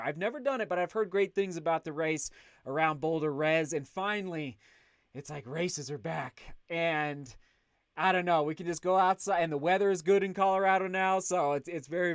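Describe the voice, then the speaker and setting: A man hosting a casual livestream, chatting upbeat and loud while reading out viewer comments. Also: on the high side, moderate pace, dry recording, clean studio sound. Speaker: livestream host.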